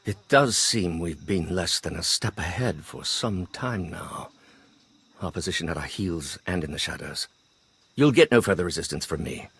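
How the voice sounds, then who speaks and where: Husky, low-voiced imperial lord. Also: male voice, lively intonation, a bit bright, full character voice. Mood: resigned. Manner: haughty, measured, formal. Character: proud, calculating male imperial lord, conspirator. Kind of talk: video game character acting.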